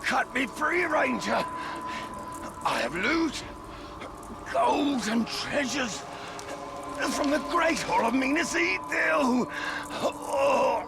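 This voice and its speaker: An actor playing a wild, snarling orc minion in a video game, loud, desperate and theatrical. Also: male voice, very high-pitched, lively intonation, very slow, vocal fry, pauses often, over loud music, exaggerated voice. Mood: desperate pleading. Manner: shouting, theatrical. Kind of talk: video game cutscene acting.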